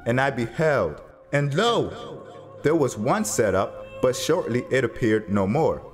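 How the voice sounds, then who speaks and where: A man with a mid-pitched voice narrates scripture as drama. His delivery is very slow and solemn, measured like grave storytelling, with music faintly playing behind him. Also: sing-song.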